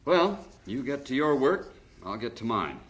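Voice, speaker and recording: A man's voice: mid-range voice, sing-song, measured pace, a bit bright. An actor playing a self-assured American businessman in an old radio drama, with an American accent. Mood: breezy, confident.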